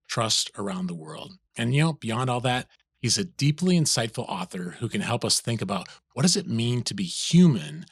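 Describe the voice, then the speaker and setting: A man's voice: mid-range voice, no echo. A man hosting a podcast, giving a polished, enthusiastic introduction and asking questions. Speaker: podcast host.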